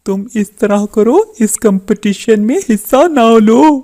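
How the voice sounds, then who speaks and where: A woman voices a kindly, poor father bird in a children's cartoon. The delivery is slow and mellow in a fairly high register, patient and soothing. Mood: gentle, reluctant. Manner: soothing, coaxing. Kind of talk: Hindi children's cartoon character voice.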